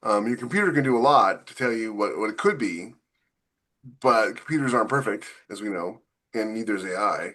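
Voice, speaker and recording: A man's voice: fairly low pitch, expressive, a little fast, bright, forceful tone. A man on a casual podcast panel, talking in a rambling, conversational way as he works through his point.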